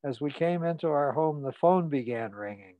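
A man's voice: on the high side, lively intonation, no room sound, narrow, tinny audio, lightly acted. A man reading an audiobook, voicing a frightened, ashamed man who speaks in a muted voice. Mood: shamed. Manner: muted, halting, confessional. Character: ashamed, frightened middle-aged man confessing.

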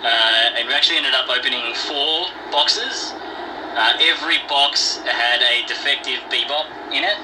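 A man's voice: medium pitch, normal speed. An Australian man leaving a casual voice message with easygoing "uh" and "um" fillers. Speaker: listener sending a voice message. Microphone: phone. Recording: phone voice message played back from a distance, noisy.

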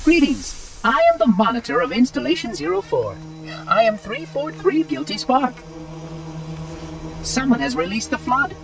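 A man's voice: very high voice, sing-song, normal speed, vocal fry, full character voice. A man voicing a fussy, cheerful floating machine caretaker in a sci-fi game, formal and precise, with a metallic filter. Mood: brisk, officious. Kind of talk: sci-fi video game acting. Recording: loud music behind, slightly distant.

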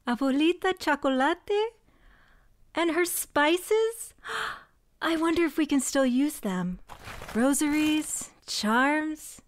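An actress voicing a quiet, stubborn young woman who dabbles in magic, in an audio drama, speaking plainly and earnestly. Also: sits high, animated, takes it slowly, no room sound. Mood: amazed. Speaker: shop heir and cousin.

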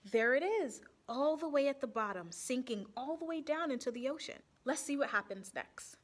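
A young woman with a high, lively voice reads a children's picture book aloud. Her pitch moves up and down a lot, and she speaks expressively, turning to ask her young listeners questions. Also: moderate pace. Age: young adult. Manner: animated, asks the children questions. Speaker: storytime reader for children.

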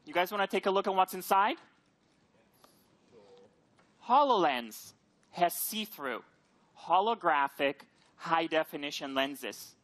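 A man giving a keynote product presentation on stage, speaking in emphatic, deliberate short phrases. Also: a little high, expressive, fairly bright tone. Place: large auditorium stage with seated audience.

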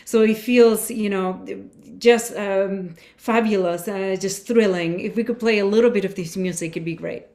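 A woman's voice: mid-range voice, normal speed, few pauses, mellow. A woman from Argentina, a clarinetist, talking with enthusiasm in a masterclass held over a video call from her home. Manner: enthusiastic, effusive praise. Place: home room with shelves.

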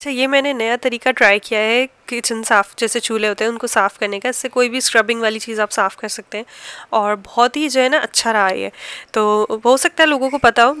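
Pakistani lady chatting through her home vlog, really smooth clean voice, dry close sound. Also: on the high side, a bit bright, no echo. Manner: chatty, explanatory, homely everyday talk. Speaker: home cooking and family vlogger. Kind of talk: casual vlog voice-over narration.

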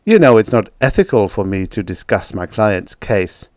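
A man's voice: lowish voice, sing-song, a little mellow, no room sound. Storybook role: canny veteran attorney, played by a man, firm and dry, in a close dry recording. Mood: dry, mocking. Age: older. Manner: dry, mocking, deliberate. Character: tall, fierce old defense lawyer, dry and shrewd.

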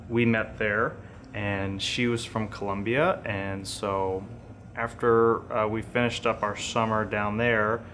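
A man's voice: medium pitch, fairly bright tone. A man in his thirties casually recounting things in an indoor documentary interview. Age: 30s. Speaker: non-profit director. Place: indoor room, seated interview. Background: music.

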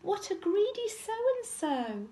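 A tiny, upset storybook bear cub, squeaks in an extremely high voice. The cub whines and complains with big swoops in pitch. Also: female voice, big pitch swings, takes it slowly, mellow, full character voice. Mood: upset, whiny.

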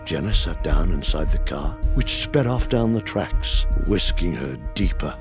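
A man narrating a sci-fi audio drama as a measured storyteller of a space adventure. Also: deep voice, lively intonation, a little mellow, soft background music. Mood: storytelling.

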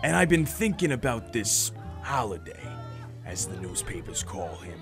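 An actor playing a self-assured, menacing mob boss in a radio noir drama, speaking with deliberate threat. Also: male voice, fairly low pitch, very animated, a bit bright, soft background music. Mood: smooth menace.

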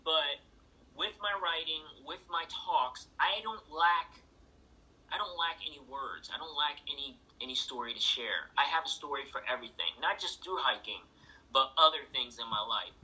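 A man's voice: a little high, pushed and bright, rough recording. A middle-aged man speaking casually and emphatically as an interviewed author on a video call, repeating phrases for effect. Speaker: interviewed author and thru-hiker. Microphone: video call played through a laptop speaker.

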